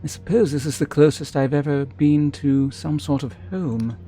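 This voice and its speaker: An actress playing a wistful, eloquent wanderer in a fantasy video game, speaking in a formal, musing way. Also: female voice, deep voice, moderate pace, mellow, soft background music, natural voice. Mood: wistful, lonely. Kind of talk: video game character acting.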